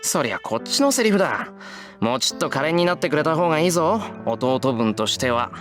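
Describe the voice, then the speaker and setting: A man's voice: fairly high pitch, sing-song, racing, fairly bright tone, soft background music. A man voicing a plain-spoken, easygoing teenage schoolboy in a Japanese visual novel. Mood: dry teasing.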